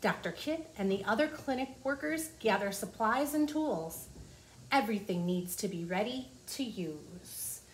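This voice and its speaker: Female teacher, 40s, mid-range voice, children's read-aloud with simple asides, small office with clear background noise. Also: mid-range voice, lively intonation, unhurried. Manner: addresses young listeners with questions and simple explanations. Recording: clearly noisy room ambience.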